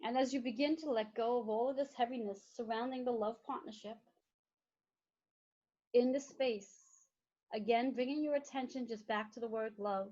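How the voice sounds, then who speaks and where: A woman leading a guided meditation in slow, soothing, repetitive phrases. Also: a little high, fairly even intonation, warm tone. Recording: dull lo-fi recording.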